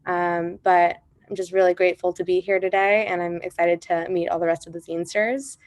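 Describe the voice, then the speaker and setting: A woman wraps up her zine presentation on a video call, hesitant with ums. Her voice sits low and flat, and the sound is dry and close. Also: brisk, dry recording.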